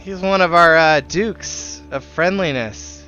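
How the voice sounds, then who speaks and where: A man's voice: on the high side, slow, bright, forceful tone, muffled recording. Chatty male game streamer, music behind. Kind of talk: casual chat while streaming.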